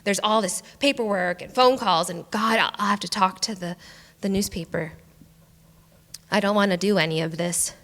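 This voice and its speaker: An actress playing a weary, foul-mouthed small-island sheriff in a staged reading, stammering and halting in exasperation. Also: female voice, mid-range voice, a bit bright, lightly acted. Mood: apologetic, overwhelmed. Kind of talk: live staged play reading. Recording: echoey theatre hall, live stage.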